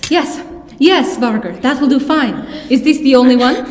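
A woman's voice: sits high, moderate pace, a little mellow, close to an everyday voice. An actress playing a composed, caring woman professor in a sci-fi audio drama. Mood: composed, brisk. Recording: noisy recording with hissing ambience.

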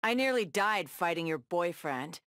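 Tough game superheroine, low voice, unhurried taunts, sassy, defiant, wry. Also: female voice, expressive, a bit bright, dry recording, studio quality. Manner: taunting, wry, punchy one-liners. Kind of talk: video game battle banter.

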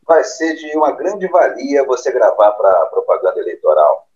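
A man's voice: medium pitch, big pitch swings, normal speed, a little mellow, lightly acted. A man plays a scheming political operator in an audio drama. His wording is formal, his intonation very varied, and the sound is a bit dull. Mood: persuasive.

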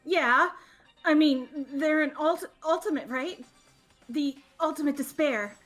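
Casting: woman as anxious bookworm schoolgirl, high voice, stuttering, gloomy game character. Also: measured pace, a bit bright, faint music behind. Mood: nervous. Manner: stammering, anxious. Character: nervous, gloomy bookish teenage girl. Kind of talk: amateur visual novel voice dub.

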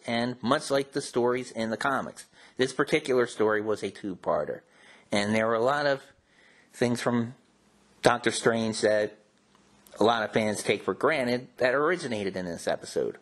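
A man hosting a show with informal, conversational and slightly wry commentary. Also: mid-range voice. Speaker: host. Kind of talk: casual scripted-free commentary on comics and old radio.

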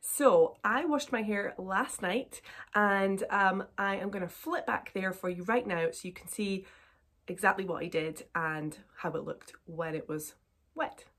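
A British woman in her thirties with a slightly croaky, blocked voice from a cold, chatting to viewers in a casual hair tutorial vlog from her bedroom. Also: medium pitch, a little slow, fairly bright tone. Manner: chatty, upbeat, talks to viewers. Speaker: curly hair YouTuber. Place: bedroom, indoors, small room.

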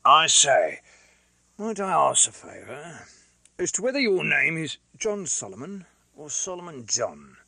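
A hoarse, blunt working-class man pressing his point in rough colloquial speech, as a male reader puts him on. The voice is bright and lively in pitch. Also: unhurried, no room sound, heavily acted. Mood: insistent.

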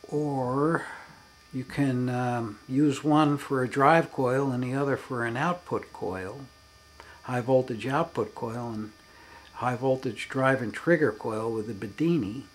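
A man giving an unscripted demonstration as a hobbyist, in halting, broken phrases with emphatic stresses. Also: mid-range voice, slight background noise. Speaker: hobbyist demonstrating his electronics experiments.

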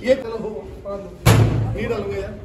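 A man's voice: sits high, fairly even intonation, slow pace. A young man from Jammu and Kashmir in India, chatting casually in vlog style. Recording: echoey, distant mic, crowd around.

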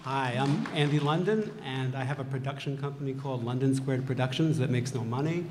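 A man's voice: medium pitch, a little fast, long reverb tail, noisy background. A man introducing himself plainly and dryly as a panelist and teacher. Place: gallery stage before a large audience. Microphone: table mic.

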